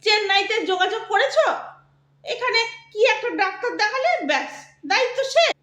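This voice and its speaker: A woman's voice: extremely high pitch, lively intonation, brisk, sharp tone, heavily acted. A woman playing a nagging, aggrieved middle-aged wife in an audio drama, bossy and quarrelsome, complaining with theatrical sharpness. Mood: complaining, reproachful. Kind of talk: Bengali audio drama acting. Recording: echoey room sound.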